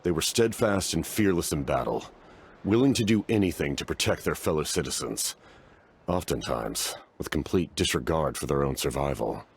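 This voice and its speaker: An actor playing a stoic, war-weary knight-commander in a fantasy game, speaking formally and gravely. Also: male voice, rumbling low, animated, normal speed, heavily acted. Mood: solemn, reflective.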